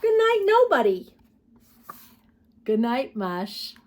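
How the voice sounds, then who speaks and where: A woman reading picture books aloud to preschoolers as a storytime host, expressive and putting questions to young listeners. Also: on the high side, takes it slowly, slight background noise. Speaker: children's storytime host and reader. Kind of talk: read-aloud picture book narration for preschoolers.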